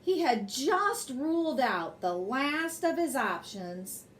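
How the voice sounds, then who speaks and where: A woman reading a children's picture book aloud as a bedtime story, in an expressive, measured way. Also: high-pitched, a little hiss or hum.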